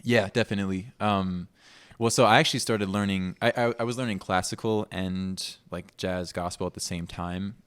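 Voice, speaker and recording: A man in his twenties from Minnesota, chatting casually in an interview, relaxed and thoughtful with frequent fillers. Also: sits low, normal speed, smooth, no echo. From Minnesota, USA. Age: 20s. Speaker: pianist guest.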